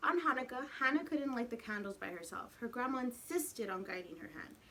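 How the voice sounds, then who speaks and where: A woman's voice: a little high, animated, a little fast, fairly bright tone, a little hiss or hum. A woman reading a picture book expressively to young children as their teacher, speaking to them directly. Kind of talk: animated storybook read-aloud with questions to the children.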